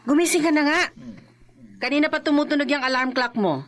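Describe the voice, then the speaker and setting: A woman playing a nagging, exasperated wife in a radio drama, scolding with a sharp tongue. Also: high voice, brisk, lightly acted. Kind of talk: emotive radio drama acting.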